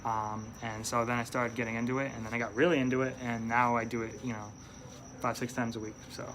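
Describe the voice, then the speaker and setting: A man's voice: medium pitch, little pitch movement, fast, background noise. A young man from upstate New York with a mild New York accent, a stand-up comedian chatting in an interview, rambling and hesitant with lots of fillers. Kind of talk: casual interview chat.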